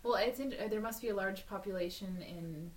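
A woman's voice: medium pitch, fairly quick, rough recording. A woman interviewing someone for an oral history, asking curious questions and giving short encouraging responses.

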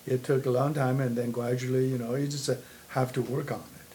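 A man tells his personal history to an interviewer. His delivery halts and doubles back on words, at a medium pitch. There is clear noise behind him. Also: flat delivery, warm tone. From Taiwan (born in China). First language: Mandarin Chinese. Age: 60s. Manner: halting, repeats words and phrases. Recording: noticeable background noise.

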